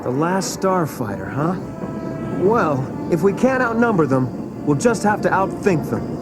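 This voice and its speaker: Man playing a high-voiced, eager teenage boy pilot. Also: unhurried. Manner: earnest, expressive. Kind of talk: read-along storybook dramatization. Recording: music and effects behind.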